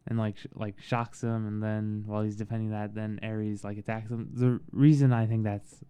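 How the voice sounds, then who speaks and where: A man giving a casual, halting film review in a soothing voice, with frequent pauses and restarts. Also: on the low side, dark tone, clean tone, muffled recording. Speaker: movie reviewer.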